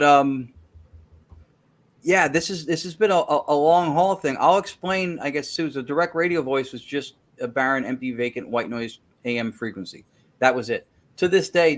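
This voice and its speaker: A man as an interview guest, explaining a technical method in detail and repeating words as he thinks. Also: mid-range voice. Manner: self-correcting, repeats words while thinking. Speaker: guest, spirit-communication researcher.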